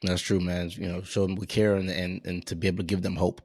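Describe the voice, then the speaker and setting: A man in his forties, a physician hosting a casual interview, who talks in a relaxed, chatty way and often repeats his words. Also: low voice, quick pace, breathy edge, no room sound. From Houston area, Texas. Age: 40s. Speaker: podcast host, physician.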